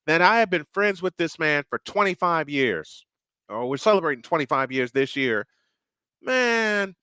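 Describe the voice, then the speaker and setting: A man's voice: mid-range voice, sharp tone, clear, resonant tone, no echo. A man hosting a live sports stream, talking to viewers in a chatty, earnest way and calling the teams as they take the field. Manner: chatty, earnest, sometimes restarts phrases. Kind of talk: live play-by-play style commentary.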